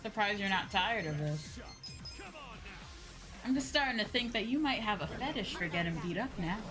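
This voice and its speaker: A woman game streamer with a lively, mid-pitched voice. She chats casually and playfully, gushing and teasing, with game music clearly behind her. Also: normal speed. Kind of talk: casual live gaming commentary.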